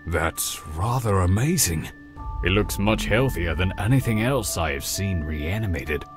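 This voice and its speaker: Earnest young male paladin trainee, man acting, visual novel. Lowish, very lively intonation, formal and polite, light music behind. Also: unhurried. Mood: mild interest.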